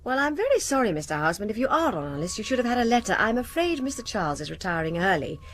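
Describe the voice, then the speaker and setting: An actress playing a polite, apologetic but firm British dental receptionist in a radio drama, with a prim manner. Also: female voice, medium pitch, sing-song, racing, natural voice.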